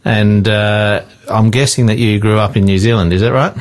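A man's voice: on the low side, fairly even intonation, moderate pace. Upbeat male radio host. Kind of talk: faith radio talk show hosting.

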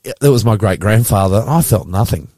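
A man's voice: on the low side, fast talker, mellow, no room sound. A man from Australia with an Australian accent, hosting a casual interview in a curious, colloquial, easily amused way. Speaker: podcast host.

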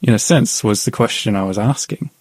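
A calm, soft-spoken man with a fairly low voice recounts a personal story to his interviewer, thoughtful and unhurried. The sound is dry and close, with no room echo. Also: husky. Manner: calm, thoughtful, with frequent "you know". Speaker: guest sharing a personal faith story. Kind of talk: reflective storytelling in conversation.

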